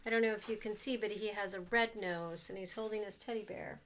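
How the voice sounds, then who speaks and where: A woman reading a picture book aloud to children, gently pausing to ask them questions about the pictures. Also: medium pitch, steady tone, a little hiss or hum.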